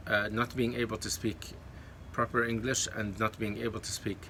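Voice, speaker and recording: A man's voice: lowish voice, level tone, moderate pace, sharp tone, a little hiss or hum. A man from Kuwait whose first language is Arabic, giving casual encouraging advice to camera, with many fillers and self-corrections. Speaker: YouTube creator.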